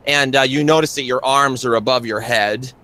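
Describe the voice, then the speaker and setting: A man with a bright, forward voice narrates a tabletop horror game as its game master. His pitch sits in the middle range, and he feels his way through descriptions, repeating phrases as he builds the scene. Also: normal speed.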